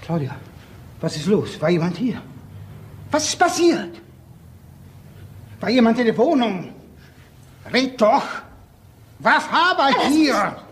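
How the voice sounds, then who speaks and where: An actor playing a cold, commanding crime boss with a formal, icy manner in a radio crime drama. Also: male voice, very high voice, big pitch swings, slow pace, pauses often, noisy background, heavily acted. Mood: agitated. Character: cold, menacing male crime boss, controlled and threatening. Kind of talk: German crime radio play acting.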